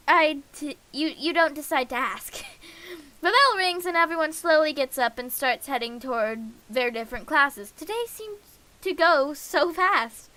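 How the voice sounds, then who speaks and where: Female game streamer, high and bright, reads story text slowly and haltingly, with casual asides. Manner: halting reading with slips and self-corrections. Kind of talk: reading a visual novel aloud on stream with casual asides.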